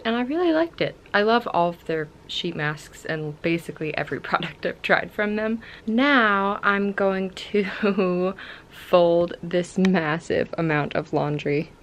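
A woman's voice: lowish voice, a little hiss or hum. A woman in her twenties chatting casually and enthusiastically as a book vlogger, recorded on a camera at home. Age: 20s. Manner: enthusiastic, informal. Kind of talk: casual vlog chat. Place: at home, mostly in a bedroom.

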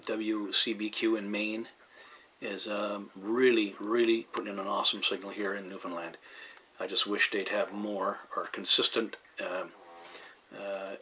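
A man's voice: fairly low pitch, sharp tone, rough recording. A man from Canada giving a casual, rambling demonstration in a hesitant manner, as a hobbyist vlogger. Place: indoors at a radio receiver. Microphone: handheld camera microphone. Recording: faint noise behind handheld camera.

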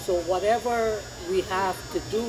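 A man's voice: high voice, steady tone, normal speed, a crowd in the background. An older man at an indoor market, speaking earnestly and preachily about spiritual truth. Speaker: market visitor. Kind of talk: casual debate. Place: large indoor flea market hall. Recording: busy crowded hall behind.

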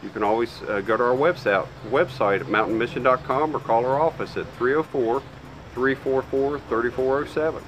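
A middle-aged man gives a grateful, plain-spoken thank-you speech outdoors, caught by the camera mic. His voice sits at a middle pitch over faint outdoor noise. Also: a little fast, a bit bright, muffled recording. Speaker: charity representative. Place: outdoors on a porch.

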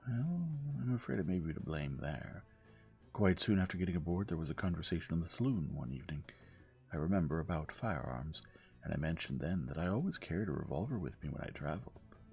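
An evasive middle-aged gentleman acted by a male audiobook reader, folksy and blustering as he protests his innocence. The voice is low and creaky, with very animated swings in pitch. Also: fairly quick, soft background music, full character voice.

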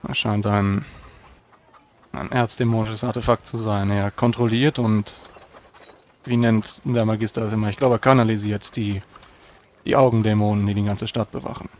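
Low voiced guy playing a proud formal knight in a tabletop game, noticeable background noise. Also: flat delivery, normal speed, darkish tone. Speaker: tabletop role-playing player. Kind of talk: in-character role-play narration.

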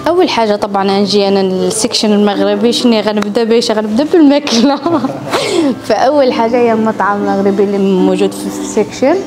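A woman's voice: medium pitch, fast talker, over music. A young woman from Morocco with a Moroccan Arabic accent, bubbly and laughing as she guides viewers through a travel vlog. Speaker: travel vlogger.

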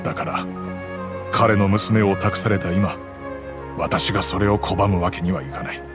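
A kind middle-aged father with a lowish, steady voice. He speaks in a calm, reflective way, full of quiet regret, as faint game music plays underneath. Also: a little slow. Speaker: wealthy father recounting the past. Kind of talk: game cutscene voice acting.

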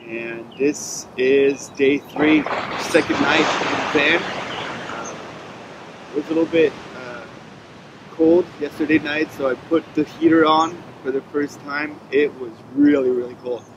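A man's voice: fairly high pitch, a little slow, background noise. A man in his thirties from France with a French accent, casually narrating a road-trip vlog with lots of fillers. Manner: relaxed, rambling with many fillers. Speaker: travel vlogger. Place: camper van and outdoors at a picnic table. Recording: music bed under voice. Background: music.

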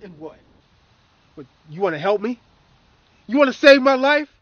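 A male actor playing a bitter, troubled middle-aged man with hidden darkness, in a brooding dramatic manner with deliberate pauses. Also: extremely high pitch, big pitch swings, fairly bright tone, lo-fi sound, exaggerated voice. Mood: angry, bitter. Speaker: actor performing a monologue and scene reel. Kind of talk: dramatic screen acting, monologue and scene.

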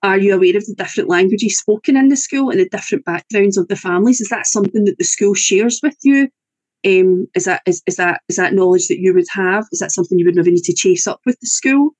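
A woman with a Scottish accent, an English-language teacher, walks parents through slides on a video call. Her voice sits at a middle pitch, and she talks in a halting way with many fillers and restarts. The sound is dry, with no room echo. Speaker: EAL teacher. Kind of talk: online presentation to parents.

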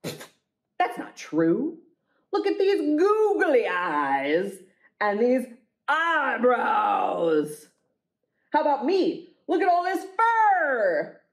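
A woman's voice: high-pitched, very animated, extremely slow, heavily acted. A woman voicing boastful, silly storybook monsters, exclaiming and drawing out words for emphasis. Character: boastful silly picture-book monsters competing to be ugliest. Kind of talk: storybook read-aloud.

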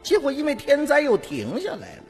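A man's voice: very high-pitched, wildly swooping pitch, fairly quick, creaky, pitched above the performer's own voice, full character voice. A man voicing a talkative, smug, gossipy Taoist priest in an audiobook. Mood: chatty. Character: chatty, smug, sly male Taoist priest, gossiping eagerly. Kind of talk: audiobook character acting. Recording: long hall reverb, music behind.

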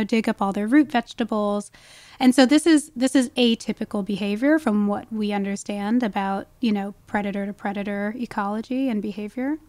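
A woman's voice: on the high side, a little mellow, dry recording, studio quality. A woman speaking as an expert guest in a conversational podcast interview, explaining things with frequent "you know" asides. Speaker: guest wildlife ecologist.

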